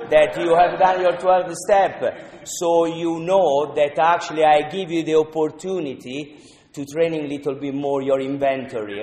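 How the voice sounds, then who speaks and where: A man's voice: mid-range voice, darkish tone, a little hiss or hum. A man with a thick Italian accent speaks in a broken, earnest English, scattering "you know" as he talks to the group. His voice sits in a middle register, and it rings through a large reverberant hall from a little way off the mic. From Italy. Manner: halting non-native English, frequent "you know", earnest. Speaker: recovery meeting speaker. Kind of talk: personal share at a twelve-step meeting. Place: meeting room.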